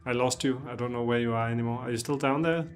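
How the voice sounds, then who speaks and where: A man's voice: medium pitch, steady tone, fast, soft background music. A man hosting, casually interviewing a guest and asking easygoing questions while they play a game together. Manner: easygoing, laughs along, asks questions. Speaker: host.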